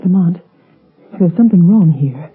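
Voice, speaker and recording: A woman's voice: lowish voice, lively intonation, normal speed, breathy edge. An actress playing a concerned young lady in an old radio drama, polite, earnest and anxious in manner. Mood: worried. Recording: muffled, phone-like vintage radio recording.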